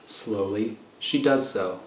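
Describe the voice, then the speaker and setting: A man's voice: lowish voice, takes it slowly. A man narrating scripted scene directions for a radio thriller. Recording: echoey room, clear background noise.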